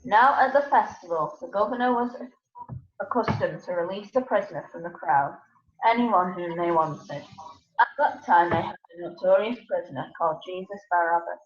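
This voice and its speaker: A woman's voice: on the low side, normal speed. A woman narrating a dramatised scripture reading as a scripted narrator. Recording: echoey room, dull sound, slight noise.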